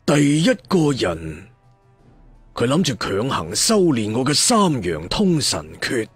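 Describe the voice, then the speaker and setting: A man's voice: medium pitch, huge pitch swings, husky, no echo, full character voice. A man voicing a proud, aloof ancient cultivation master in a Cantonese audio story, solemn and declamatory, drawing out his phrases. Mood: solemn, reminiscing. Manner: slow, drawn-out, declamatory. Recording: music behind the voice.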